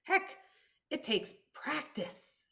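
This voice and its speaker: A woman in her forties co-hosting a podcast, talking in a reflective, animated way about self-awareness. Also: drawn-out words, dull audio. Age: 40s. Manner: reflective, animated storytelling with laughs. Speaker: podcast co-host. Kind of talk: casual conversation. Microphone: video call.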